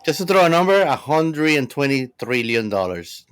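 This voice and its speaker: A man's voice: a little high, sing-song, normal speed, a bit bright, smooth. A man chatting casually as a podcast panelist, with a wry, joking manner. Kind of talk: casual chat.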